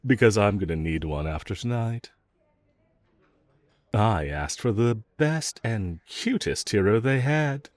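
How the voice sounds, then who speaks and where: A man's voice: lowish voice, lively intonation, takes it slowly, no echo. A man playing a cocky, flirtatious, unrepentant villain in an audio roleplay, teasing and mocking the listener with sarcasm. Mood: sarcastic, dismissive. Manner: teasing, sarcastic drawl with chuckles.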